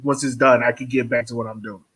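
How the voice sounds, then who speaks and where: A man with a slightly raspy, husky voice hosts a casual interview. He talks in a laid-back, informal way with some slang, at a middle pitch. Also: little pitch movement, quick pace, fairly bright tone. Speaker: podcast host.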